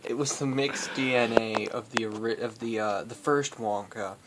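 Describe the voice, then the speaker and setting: A man telling an improvised comic story in a halting, rambling way, as host among friends. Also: medium pitch, fairly bright tone. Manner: rambling, halting, often breaking into laughter. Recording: noisy home recording with clicks.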